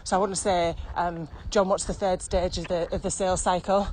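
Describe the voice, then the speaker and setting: A woman's voice: fairly low pitch, flat delivery, normal speed, a little mellow. A British woman in a casual podcast chat, explaining from experience as a training expert in an informal, practical way, recorded outdoors while out walking. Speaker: virtual training expert being interviewed. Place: outdoors, walking on a country path. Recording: outdoor ambience, faint background noise.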